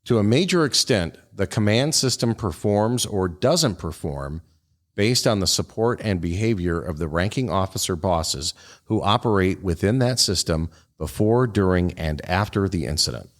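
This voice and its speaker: A man's voice: low-pitched, lively intonation, normal speed. A man co-hosting a podcast, presenting a segment and reading aloud with casual comment. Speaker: podcast co-host.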